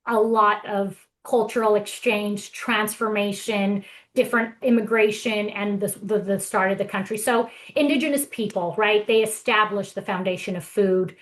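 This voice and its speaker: A woman from Brazil gives a relaxed presentation, chatting along with clear enthusiasm. Her voice sits at an ordinary middle pitch. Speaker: guest presenter. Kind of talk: casual presentation.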